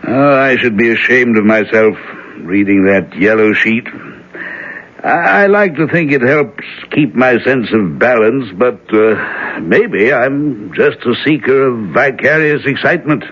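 An actor playing an older minister and troubled father, speaking in a measured, earnest, gently probing way in a 1970s radio drama. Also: mid-range voice, lively intonation. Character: aging reverend, troubled worried father. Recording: muffled old radio broadcast.

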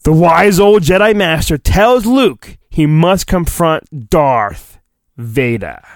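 A man reads a storybook aloud with hammy comic drama and very lively pitch. He takes his time and often breaks off for joking, profane asides. The sound is dry and close. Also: slow pace, dry recording. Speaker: podcast host. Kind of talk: comedic dramatic storybook reading.

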